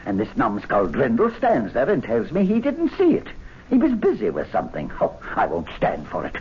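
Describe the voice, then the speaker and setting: Wildly swooping, excitable gentleman. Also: medium pitch, normal speed, slightly rough, heavily acted. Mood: feverish rage. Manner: theatrical, impassioned. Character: excitable, domineering gentleman, obsessively rapturous and quick-tempered. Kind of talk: old-time radio drama acting. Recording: dull hissy vintage radio recording.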